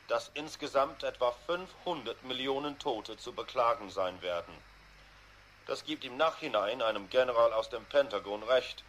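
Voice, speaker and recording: A man's voice: medium pitch, a bit bright, natural voice. Man playing a grave, bitter radio commentator. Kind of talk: 1980s radio drama, measured broadcast commentary. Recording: faintly noisy 1980s radio play.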